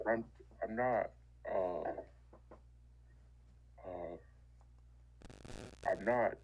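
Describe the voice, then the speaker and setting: A man's voice: lowish voice, very slow, a little mellow, breathy edge. A man from the Dominican Republic with a Dominican accent, talking casually on a voice call and restarting his sentences often, Spanish being his first language. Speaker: caller. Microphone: voice chat call. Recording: muffled voice call audio.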